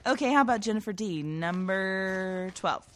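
A woman's voice: medium pitch, expressive, moderate pace, a bit bright, close to an everyday voice. An actress playing a suspicious, pushy woman who writes and casts an amateur production, in naturalistic dialogue. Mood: brisk, persuasive. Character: suspicious, pushy amateur playwright running auditions. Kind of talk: naturalistic scripted drama dialogue.

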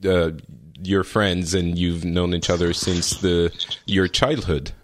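A man's voice: deep voice, steady tone, takes it slowly, slight background noise. A man hosting a chat podcast, speaking hesitantly with many fillers. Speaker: podcast host.

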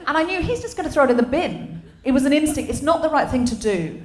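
A middle-aged English woman with a slight Australian tinge hosting a live comedy podcast on stage, animated and laughing as she tells stories. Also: high-pitched, fast. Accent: English with a trace of Australian. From England. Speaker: podcast host. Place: comedy venue stage before a live audience.

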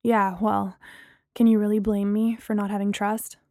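A woman's voice: mid-range voice, little pitch movement, normal speed, warm tone, no room sound, natural voice. Self-doubting young female lead read by a woman narrator, audiobook romance, plain and hesitant, dry sound. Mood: uncertain. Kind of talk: acted audiobook dialogue.

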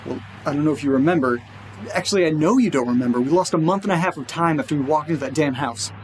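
Over faint background ambience, a man plays an anxious, exasperated friend in a horror audio drama. His voice sits fairly high and moves quickly, with casual, sarcastic phrasing. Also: slight background noise, lightly acted. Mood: uneasy, sarcastic.

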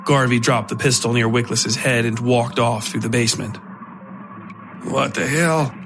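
A man narrates a scripted horror story for a podcast, in a middle-pitched voice. Music runs clearly behind the narration. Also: level tone, a little fast.

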